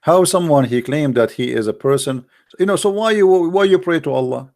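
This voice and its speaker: A man's voice: on the high side, lively intonation, normal speed, creaky, darkish tone. A man giving an argumentative, sarcastic monologue, full of rhetorical questions, speaking English with non-native grammar. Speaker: religious debater. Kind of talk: polemical monologue.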